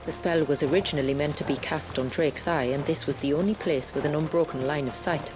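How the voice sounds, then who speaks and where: Low-voiced, stoic woman guide, played by an actress. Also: fairly even intonation, brisk, music in the background, close to an everyday voice. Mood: grave. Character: stoic, world-weary woman guide of a dwindling tribe. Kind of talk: video game cutscene acting.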